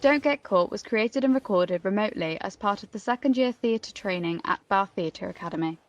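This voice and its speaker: A woman's voice: medium pitch, a little fast. A woman reading scripted closing credits as an announcer.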